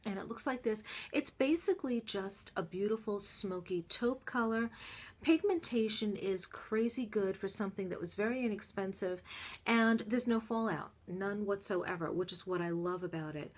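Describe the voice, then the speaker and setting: A woman's voice: medium pitch, moderate pace, breathy edge. A middle-aged woman vlogger, congested, chatting casually through her favorite beauty products with lots of gushing and little ums. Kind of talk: casual favorites review to camera.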